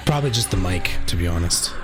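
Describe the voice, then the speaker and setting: A man with a deep voice casually commentating his own online game session, thinking aloud and swearing now and then. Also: lively intonation, fast. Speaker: gaming channel host. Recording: game music behind the voice.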